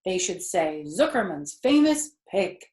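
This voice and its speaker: Lady reading a proud farm boss man in a kids book, emphatic and excitable, echoey. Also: lowish voice, unhurried. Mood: firm, proud. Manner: emphatic, declarative orders. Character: proud, excitable male farm owner giving orders.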